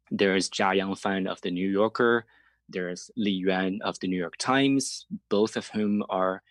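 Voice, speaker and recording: Low-voiced man from China, earnest and explanatory. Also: no room sound. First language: Chinese. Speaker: journalist, interview guest. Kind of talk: reflective conversational interview answers.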